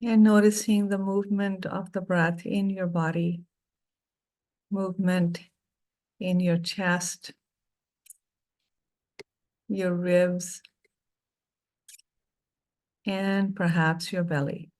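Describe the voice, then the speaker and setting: A middle-aged woman leading a guided meditation, speaking softly and soothingly with long pauses between phrases. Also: lowish voice, little pitch movement, rough recording.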